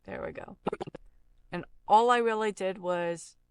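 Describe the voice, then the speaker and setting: A woman giving a casual audio software tutorial, explaining informally and thinking aloud as she works. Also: mid-range voice, unhurried, clean tone, no echo.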